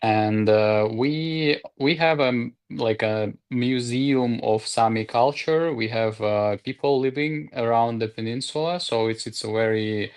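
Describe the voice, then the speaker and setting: Russian man, mid-pitched, friendly and halting, casual stream guest. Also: clean tone. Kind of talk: casual chat. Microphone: video call.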